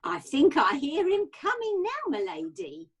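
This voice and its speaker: Woman playing a very high, swooping servile housekeeper. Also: wildly swooping pitch, unhurried, soft background music, full character voice. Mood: ominous deference. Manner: portentous, servile. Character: deferential, ominous housekeeper in a country manor.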